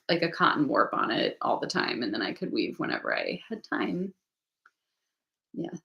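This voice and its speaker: A woman with a lowish, gravelly voice chats casually about her craft plans, wandering off mid-thought and circling back. She sounds a little sniffly, talking from a room at home. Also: fairly bright tone. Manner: rambling, self-interrupting, thinking aloud. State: sniffly from allergies. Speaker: knitting channel host. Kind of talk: casual livestream chat.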